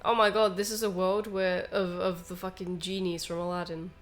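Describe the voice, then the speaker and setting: A woman's voice: on the low side, little pitch movement, moderate pace. A woman chatting casually as co-host of a game stream. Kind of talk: casual chat.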